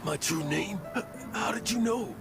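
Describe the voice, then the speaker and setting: A man voicing a weary, emotional aging adventurer in a video game, speaking in a halting, dramatic way. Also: sits high. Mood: astonished. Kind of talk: English video game voice acting. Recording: game music behind.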